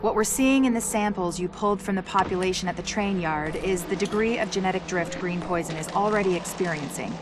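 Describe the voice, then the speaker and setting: An actress playing a virologist who briefs with brisk, dry, sardonic remarks. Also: female voice, fairly low pitch, background noise, natural voice. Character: dry-witted female virologist briefing an agent. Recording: game cutscene with music behind.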